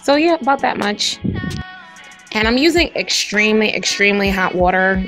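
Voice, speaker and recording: Woman vlogger, raspy, chatty, mid-range pitch, light music underneath. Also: expressive, moderate pace, fairly bright tone. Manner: chatty, addresses viewers directly. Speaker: hair vlogger. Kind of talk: casual hair review and tutorial.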